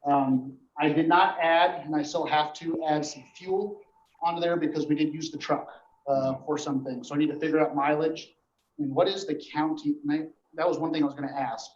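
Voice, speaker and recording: A man gives an informal report to a board at a meeting, explaining things in a halting, matter-of-fact way. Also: on the high side, fairly even intonation, slight background noise. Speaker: emergency dispatch official reporting to a board. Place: indoor meeting room. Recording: echoey meeting room, dull muffled audio.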